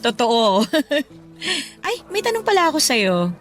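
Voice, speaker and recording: A woman's voice: fairly high pitch, big pitch swings, takes it slowly, fairly bright tone, faint music behind, exaggerated voice. A woman playing a kind, encouraging schoolteacher who talks in a friendly, reassuring way in a radio drama. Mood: concerned, gentle. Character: kind, encouraging female schoolteacher, tactfully curious.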